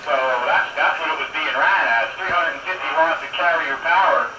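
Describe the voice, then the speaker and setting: A man's voice: fairly high pitch, fairly quick, loud music behind the voice. A man chatting casually as an amateur radio operator, folksy and plain-spoken, heard over an AM radio transmission. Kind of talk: casual radio hobbyist chat. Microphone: heard over a radio link. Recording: noisy, echoey, distant AM radio transmission.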